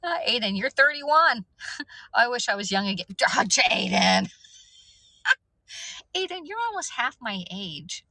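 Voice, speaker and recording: A woman's voice: a little high, big pitch swings, unhurried, creaky, long pauses, pushed and bright, no room sound. A woman in her fifties chatting casually on a livestream, rambling off topic and greeting viewers by name. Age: 50s. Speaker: livestream host.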